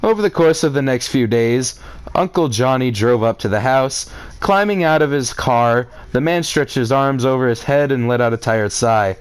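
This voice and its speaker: A man's voice: medium pitch, normal speed, smooth. A man reading a story aloud as a comedic reader, adding exasperated, sarcastic commentary in a theatrical way.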